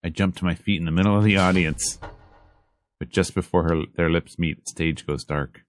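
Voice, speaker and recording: A man reading a visual novel aloud as a let's player, in measured, dramatic storytelling narration over game music. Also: low voice, slight background noise.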